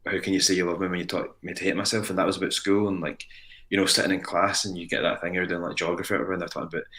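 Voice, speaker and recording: A man's voice: low-pitched, level tone, rapid-fire, bright, forceful tone. A man with a Scottish accent, a musician talking casually in an interview, rambling with frequent restarts and fillers. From Scotland. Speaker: band frontman guest.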